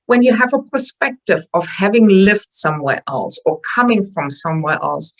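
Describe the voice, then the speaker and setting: A woman with a German accent, a wellness coach speaking earnestly as an interview guest, with some halting restarts. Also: fairly high pitch, low-quality recording. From northwestern Germany. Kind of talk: interview conversation.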